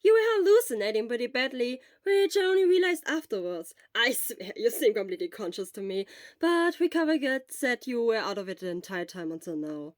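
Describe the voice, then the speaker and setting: Woman acting a teen boy, loud, emphatic, caring, earnest, high-pitched, audio fan story, dry close recording. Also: fairly quick, dry recording. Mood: earnest, reassuring. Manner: emphatic, energetic.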